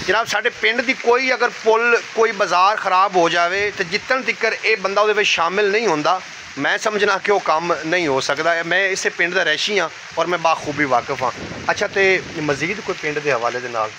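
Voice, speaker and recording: A man's voice: sits high, normal speed. Man, Punjabi accent, village vlogger, selfie phone mic, noisy outdoor recording. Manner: raises voice to address viewers, speaks softer while walking. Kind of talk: village vlog with interview. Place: outdoors in a village, light rain. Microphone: phone held at arm's length, selfie style.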